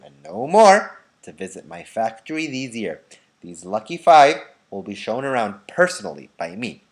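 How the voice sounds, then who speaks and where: An excited father from a children's storybook, performed by a man, proclaiming a newspaper announcement. He is loud and emphatic, with very animated pitch and a grand, declaiming delivery. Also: close to an everyday voice.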